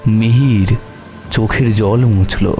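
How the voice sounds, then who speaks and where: A man's voice: lowish voice, steady tone, slow. A man narrating a scripted horror audio story in a dramatic storytelling style. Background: music.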